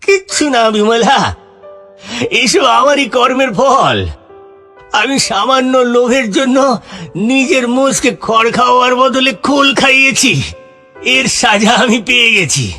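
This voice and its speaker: A man's voice: very high voice, animated, slow pace, bright, forceful tone, soft background music, exaggerated voice. A man voicing a greedy, grumbling poor farmer husband in a cartoon, with an exaggerated delivery. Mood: remorseful. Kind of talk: cartoon voice acting.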